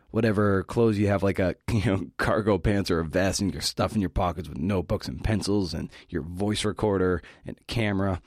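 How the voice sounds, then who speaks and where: A man's voice: low-pitched, a little mellow, no echo, studio quality. A man hosting a podcast, giving a conversational scripted talk with wry, enthusiastic asides.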